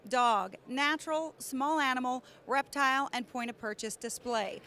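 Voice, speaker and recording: A woman in her thirties hosting a trade show news report with crisp, upbeat broadcast delivery, speaking into a handheld mic on a convention floor. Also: a little high, pushed and bright. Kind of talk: scripted news presenting.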